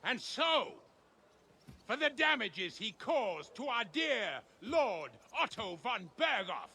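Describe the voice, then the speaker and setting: An actor playing a pompous, stern official who declaims public proclamations in a medieval video game drama. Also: male voice, very high-pitched, very animated, takes it slowly, bright, forceful tone, slightly rough, full character voice. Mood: proclaiming, contemptuous. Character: pompous, stern male official presiding over a hanging.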